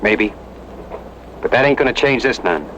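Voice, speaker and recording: A man's voice: medium pitch, lively intonation, normal speed, a bit bright, dull audio, slight background noise, natural voice. An actor playing a resolute, plainspoken man, terse and sober in a radio drama. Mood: grim, resolved. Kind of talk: Western radio drama acting.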